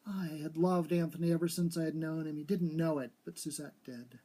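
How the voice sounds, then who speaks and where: Man reading as an eerily calm young woman, husky. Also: on the high side. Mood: calm, bitter confession. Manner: even, authoritative, deliberate. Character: slim dark young woman, calm, eerily confessing a killing. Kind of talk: storybook character reading.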